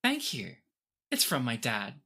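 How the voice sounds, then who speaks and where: A woman's voice: very deep voice, huge pitch swings, unhurried, sharp tone, husky, exaggerated voice. A woman voicing a dignified, blunt sixty-year-old male alien sailor in a tabletop game, in plain, brief statements. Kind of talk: tabletop game role-play.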